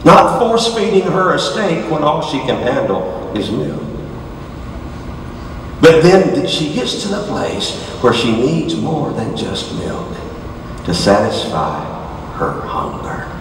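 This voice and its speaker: An older man preaching a sermon, dropping to hushed low lines and rising to shouted high peaks as he moves around a large stage. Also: big pitch swings, slow, a little hiss or hum. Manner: moves about the stage gesturing while preaching. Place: large dark auditorium stage. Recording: echoey hall from far off.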